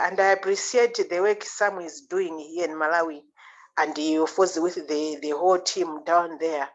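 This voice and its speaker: A woman health worker speaking in a measured, slightly hesitant way on a panel call. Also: on the low side. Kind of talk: panel discussion on video call. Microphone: video call. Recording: dull video call audio.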